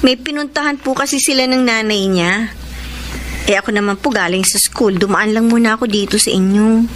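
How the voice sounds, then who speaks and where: A woman's voice: mid-range voice, brisk, a little hiss or hum, natural voice. A woman acting an earnest, dramatic young student daughter in a Filipino radio drama. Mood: surprised, annoyed. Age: young adult. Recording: loud music under the voice.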